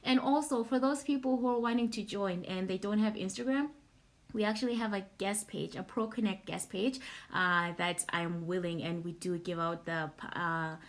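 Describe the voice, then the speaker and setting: A young adult woman from Zimbabwe hosting a casual live question-and-answer session, informal and halting, repeating words as she thinks. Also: medium pitch. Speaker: platform host answering audience questions.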